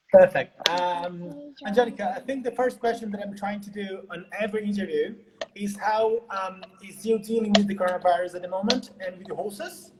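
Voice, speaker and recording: A man's voice: high voice, moderate pace, noisy background. Ask for a Brazilian man interviewing in an echoey room. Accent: Brazilian Portuguese. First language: Portuguese. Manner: hesitant, many fillers and restarts. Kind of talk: live online interview.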